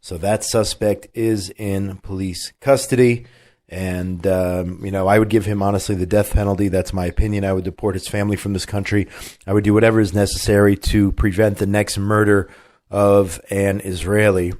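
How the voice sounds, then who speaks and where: A man's voice: fairly low pitch, flat delivery, moderate pace, a little mellow. A man with a cold hosting a talk radio show, chatting casually and reading the news with plenty of fillers and restarts. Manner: conversational, opinionated, with many fillers and restarts. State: has a cold.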